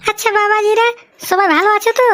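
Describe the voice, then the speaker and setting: A woman's voice: very high voice, steady tone, quick pace, a bit bright, no echo, clean studio sound. A woman voicing a pushy, talkative middle-aged village mother in a cartoon, fussing. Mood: fussy, welcoming. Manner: fussy, talkative. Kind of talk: cartoon comedy dialogue.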